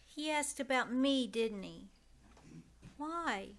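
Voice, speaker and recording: A woman playing a timid, polite, wistful little girl, halting and careful in old-time radio drama style. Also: high-pitched, lively intonation, full character voice. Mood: uneasy, questioning. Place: live on a small-town theater stage. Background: loud music.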